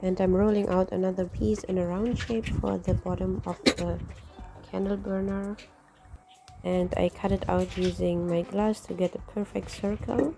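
A woman with a deep, husky voice, hoarse from a cold, explaining each step of a craft tutorial, with small slips. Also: little pitch movement, normal speed, over music. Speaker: craft channel host.

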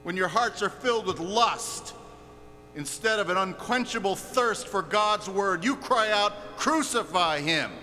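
High-pitched man preaching fiery sermon, echoing hall. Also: animated, measured pace, sharp tone. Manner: emphatic, confrontational, rhetorical repetition. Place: large reverberant hall. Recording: echoey hall, faint mains hum.

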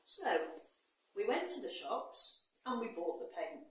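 A woman's voice: fairly high pitch, big pitch swings, a little slow, a bit bright, slight background noise. A middle-aged woman chatting cheerfully in a small bathroom about the renovation. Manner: chatty, cheerful, easily amused. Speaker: home renovation vlogger. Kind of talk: casual chat. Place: small tiled bathroom of an old house. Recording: very echoey bathroom, distant mic.

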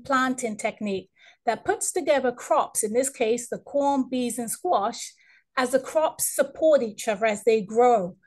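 A woman moderating an online event, reading a formal introduction in a measured way. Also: a little high.

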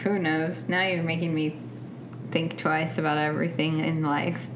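A woman co-hosting a relaxed podcast as the resident superfan, with a slightly low voice. She is chatty and laughs easily. Faint background noise runs under her voice. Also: normal speed. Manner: chatty, laughs often, fills in details. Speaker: podcast co-host, superfan of the show. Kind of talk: casual chat.

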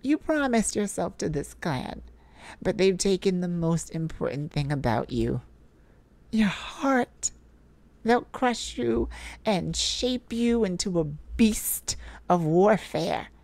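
A woman playing a tender, heartbroken but resolute mage in an emotional audio roleplay, speaking intimately and haltingly with a pleading manner. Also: fairly low pitch, lively intonation, gravelly, a little mellow, exaggerated voice. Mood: sad, insistent.

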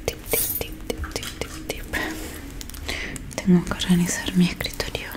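A young woman whispering softly and breathily in a soothing ASMR roleplay, close to the microphone, repeating words. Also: lowish voice, monotone, a little slow. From Argentina. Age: young adult. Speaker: ASMR creator. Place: small dim room indoors.

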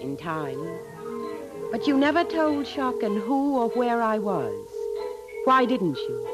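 A spirited American sweetheart, teasing and idealistic, with a slightly high voice. It is fifties radio acting, set against loud music. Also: female voice, big pitch swings, slow pace. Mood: tender, teasing. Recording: vintage radio drama, loud music underneath.

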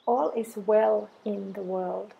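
Female meditation guide. Very slow, even delivery with a creaky edge, soothing and measured. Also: medium pitch, muffled recording. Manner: soothing, measured, deliberate phrases with long pauses.

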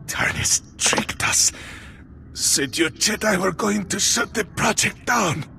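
Wounded man, breathless, strained and creaky, pained, regretful, video game acting. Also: high-pitched, slow pace, sharp tone, slight background noise, exaggerated voice. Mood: remorseful, pained. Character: mortally wounded, remorseful male technician gasping out warnings.

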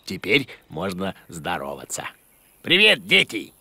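Bossy, wildly swooping cartoon monster. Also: male voice, low voice, wildly swooping pitch, unhurried, gravelly, very noisy, exaggerated voice. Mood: bossy, grumbling. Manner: theatrical, grumbling, exclamatory. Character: bossy, hot-tempered, bumbling, melodramatic shaggy monster teacher. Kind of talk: children's educational cartoon acting.

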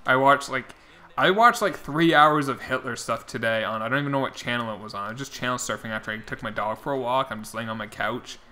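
Young man, game streamer, bright voice, rambling casual chat, voice wearing out. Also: medium pitch, fast talker. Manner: rambling, talks to chat viewers by name. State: losing his voice. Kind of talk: casual chat while playing.

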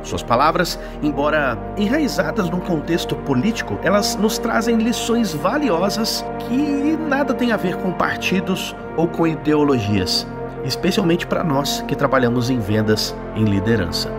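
A man's voice: a little high, big pitch swings, unhurried. A man giving earnest, inspirational narration as a channel host, with rhetorical questions. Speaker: channel host, sales and leadership speaker. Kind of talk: scripted motivational narration. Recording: voice-over over loud music. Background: loud background music.

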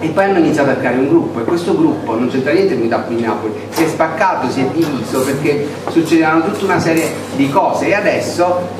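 A man's voice: a little high, expressive, fast talker, hardly any pauses, noisy background. A middle-aged man speaking off the cuff to a local meeting, listing groups and restarting his sentences as he goes. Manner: gesturing while standing, thinking aloud with restarts and lists. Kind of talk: unscripted address to a meeting. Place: crowded indoor meeting room. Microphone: far camera, no mic. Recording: echoey room heard from far off, crowd murmur.

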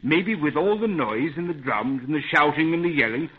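An actor playing a loyal, scrappy, folksy adventurer in a 1930s radio adventure serial. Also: male voice, a little high, lively intonation, normal speed, husky. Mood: determined. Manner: folksy, plain-spoken. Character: Pat, a loyal, scrappy grown man, adventurer ally. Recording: muffled, phone-like 1930s radio recording.

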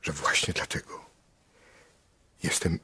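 A man's voice: slow, sharp tone. An actor playing an earnest, troubled male storyteller in a radio drama, speaking intimately and pleadingly.

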